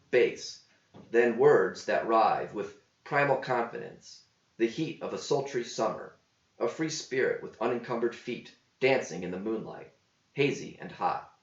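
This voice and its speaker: A man reading a verse story aloud as a scripted narration, with measured delivery. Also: fairly low pitch. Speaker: author reading own verse epic. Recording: roomy, slightly dull home recording.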